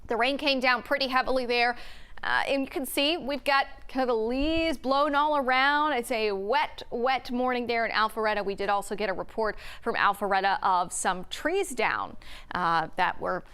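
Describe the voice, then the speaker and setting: A woman's voice: fairly high pitch, normal speed, fairly bright tone, clean tone. A woman presenting a live weather broadcast, explaining things in a conversational, unscripted way.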